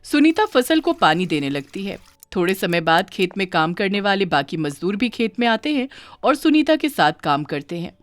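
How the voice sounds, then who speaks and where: A woman's voice: medium pitch, very animated, normal speed, few pauses, no echo, soft background music. A woman reading a scripted children's moral story as its narrator.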